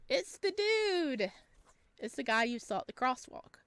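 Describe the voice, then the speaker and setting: A woman hosting a let's play, reading game text aloud and chatting to viewers with bubbly, expressive reactions. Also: high voice, measured pace, smooth, dry recording. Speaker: gaming video host.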